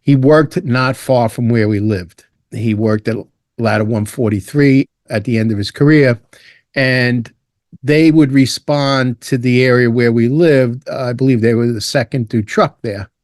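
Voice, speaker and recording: A man's voice: medium pitch, unhurried, dry recording. Older ny guy telling his story, laid back, rambly, dry sound. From Ireland, raised in Brooklyn. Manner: relaxed, rambling, with restarts and asides. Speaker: guest, retired fire lieutenant. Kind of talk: casual storytelling interview.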